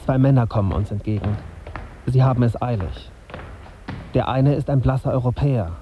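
A man's voice: mid-range voice, moderate pace, dark tone, a little hiss or hum, lightly acted. An actor playing a brooding, embittered young male journalist in a radio drama, with a sardonic, indignant manner. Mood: pensive narration. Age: young adult. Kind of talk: radio drama dialogue and inner monologue.

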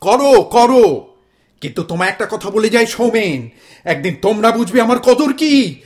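অভিনেতার কণ্ঠে উঁচু গলার নাটকীয়, দাম্ভিক বাঙালি স্বামী. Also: male voice, high voice, expressive, moderate pace. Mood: defiant, grandiose. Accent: Bengali. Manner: pompous, theatrical, declamatory. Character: blustering, self-dramatizing Bengali husband bent on grand protest. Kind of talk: comic stage-play acting.

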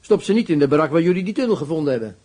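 A prison camp commandant in a radio play, dry and commanding. His husky voice is pitched a little high and moves up and down a lot. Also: expressive, rapid-fire, darkish tone. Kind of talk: radio drama acting.

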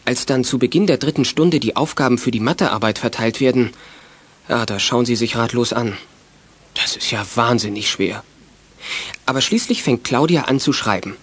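A man's voice: mid-range voice, normal speed, fairly bright tone, close to an everyday voice. A man acting as the narrator of a children's radio play, reading a scripted youth detective story. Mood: measured storytelling.

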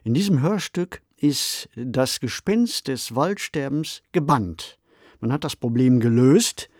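Guy doing podcast commentary, medium pitch, explaining stuff, very dry studio sound. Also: a little mellow, dry recording. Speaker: podcast guest expert. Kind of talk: conversational commentary.

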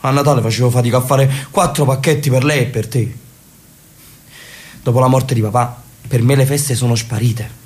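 A man's voice: mid-range voice, steady tone, normal speed, darkish tone, close to an everyday voice. A man voicing an elderly, solitary brother in a radio drama, speaking in a brooding, reflective way. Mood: weary refusal.

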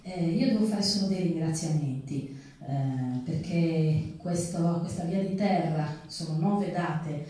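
A low, mellow-voiced woman speaks as host of a stage event. She is heard from a distance in a very echoey theatre. Her phrasing is measured and articulate. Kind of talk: spoken introduction and thanks to an audience.

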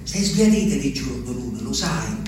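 A man's voice: medium pitch, sing-song, moderate pace, natural voice. An actor playing an ageing, lonely peasant man, rambling and repeating himself in a theatrical monologue. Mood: agitated wonder. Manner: rambling, repetitive, swings from hushed to exclaiming. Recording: echoey, distant stage recording, faint noise.

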